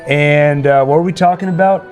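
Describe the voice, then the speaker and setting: A man's voice: on the high side, a little fast, darkish tone. A man chatting casually and jokingly as host of a live stream. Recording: spooky ambient music playing behind. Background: ambient Halloween music.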